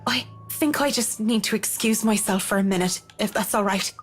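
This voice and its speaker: An actress playing a polite but frayed professional woman in a visual novel, speaking in a formal, measured way. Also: mid-range voice, natural voice. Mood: strained, apologetic. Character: Marianne, polite but frayed female interior designer. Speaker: interior designer character. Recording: music under the voice.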